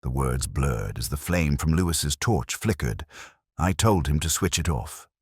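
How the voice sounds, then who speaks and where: An actor playing a melancholy, wry middle-aged police inspector, narrating a detective story in a measured, literary manner with deliberate pauses. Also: male voice, rumbling low, lively intonation, breathy edge, heavily acted. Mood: brooding, reflective. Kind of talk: scripted first-person detective narration.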